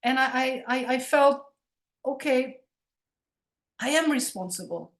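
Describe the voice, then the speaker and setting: A woman in her forties with a Turkish Cypriot accent gives a lecture over a video call. Her voice sits a touch high, and she talks in an earnest, emphatic way. She often circles back on her words while she looks for the next one. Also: animated, unhurried. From Cyprus. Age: 40s. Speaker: guest lecturer. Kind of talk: online lecture, conversational.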